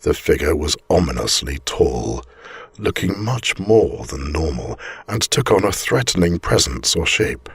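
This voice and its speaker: A man reading a scripted ghost story aloud as a storyteller, with measured, deliberate and theatrical phrasing. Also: rumbling low, big pitch swings, breathy edge, dry recording.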